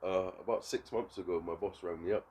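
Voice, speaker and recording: A man's voice: deep voice, brisk, a little mellow, a little hiss or hum. A man, a podcast guest telling stories in a laid-back way and acting out the dialogue he quotes. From England. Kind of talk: casual chat.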